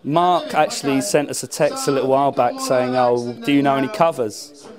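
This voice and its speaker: A man's voice: medium pitch, steady tone, moderate pace, a crowd in the background. A man, a band musician, giving casual interview answers in an informal, rambling way. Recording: clear music playing behind. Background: music at a live event.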